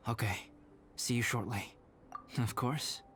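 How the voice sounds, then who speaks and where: A man playing a reserved, scholarly young alchemist in a video game, speaking in a measured, formal, thoughtful way. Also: medium pitch, very noisy, lightly acted. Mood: calm, careful.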